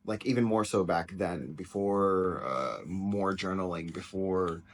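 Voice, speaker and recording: Loud-voiced man, candid and rambling. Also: low voice, a little slow. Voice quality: loud, penetrating voice. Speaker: teacher and author reacting to his own book. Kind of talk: casual reaction commentary.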